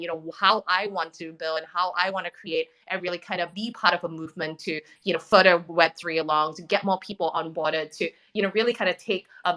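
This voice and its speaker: Woman, Singaporean accent, thirties, podcast guest, fast, bright, eager, filler-heavy. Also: lowish voice, low-quality recording. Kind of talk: casual interview talk.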